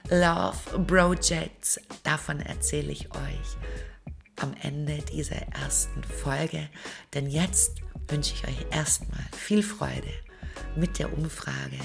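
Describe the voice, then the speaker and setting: Low, creaky, unhurried female podcast host. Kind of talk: scripted podcast introduction.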